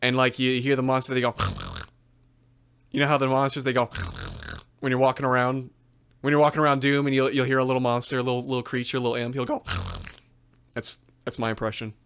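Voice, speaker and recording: A man playing an awkward stand-up comic who stammers through monster impressions, with halting filler words. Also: mid-range voice, level tone, a bit bright, clean tone, natural voice.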